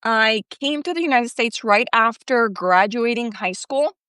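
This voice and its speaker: A woman's voice: on the high side, measured pace, clean tone, dry recording, studio quality. Candid woman guest. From Colombia. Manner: candid, relaxed storytelling with "like". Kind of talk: live-stream interview.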